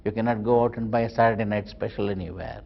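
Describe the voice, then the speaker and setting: A man's voice: lowish voice, fast talker, creaky, a little hiss or hum. A man explaining things in an interview in formal, articulate wording. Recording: slightly muffled interview audio.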